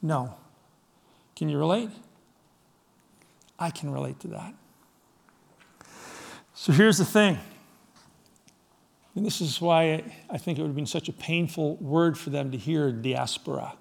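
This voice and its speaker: A middle-aged man from Scotland preaching a sermon to his congregation, firing rhetorical questions, swinging from loud emphasis to soft, low asides. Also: unhurried, gravelly, pauses often. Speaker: pastor.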